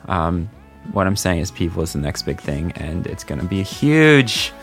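A man's voice: deep voice, sing-song, normal speed, fairly bright tone, faint music behind. A man hosting a podcast, chatting casually and rambling with lots of fillers and jokes. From Maryland, USA. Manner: rambling, filler-heavy, joking. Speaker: podcast host. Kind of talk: casual chat.

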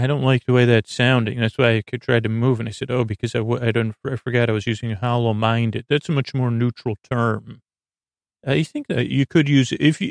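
A man hosting a sleep podcast with a meandering, halting bedtime monologue, often trailing off and repeating himself. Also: medium pitch, dry recording. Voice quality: creaky.

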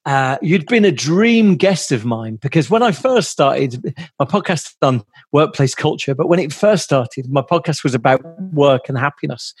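A man's voice: a little high, husky, dry recording. A man hosting a podcast in a conversational interview style, speaking in an enthusiastic, effusive way.

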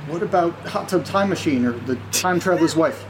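A man's voice: on the high side, animated, brisk, background noise, natural voice. A man playing an anxious, sarcastic friend in a naturalistic audio drama, exasperated and casual. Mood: dry.

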